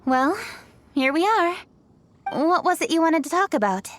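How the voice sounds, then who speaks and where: A young lady official from an anime game. Her voice sits high, with composed, formal phrasing. She comes across as courteous, dutiful and hardworking. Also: big pitch swings, a little slow, music in the background, exaggerated voice. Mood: calm, attentive. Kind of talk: anime video game voice acting.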